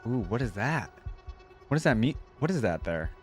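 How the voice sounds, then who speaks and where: A man's voice: mid-range voice, big pitch swings, normal speed. A man giving casual, enthusiastic reaction commentary as a video host, speaking informally with slangy asides. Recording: music playing behind the voice. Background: game music.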